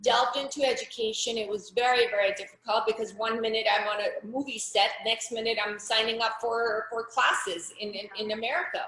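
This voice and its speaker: Earnest middle-aged woman from pakistan, kinda high voice, lots of restarts, echoey room. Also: fairly even intonation, a little fast, hardly any pauses, fairly bright tone. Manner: earnest, frank, with many restarts and fillers. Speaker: interview guest, activist. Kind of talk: personal testimony in an interview.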